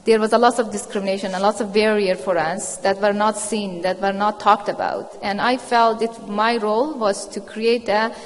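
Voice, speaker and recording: A woman's voice: medium pitch, steady tone, moderate pace, hardly any pauses. Afghan-accented woman journalist in a hall. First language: Dari. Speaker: journalist, panelist. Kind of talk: panel discussion. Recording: reverberant hall.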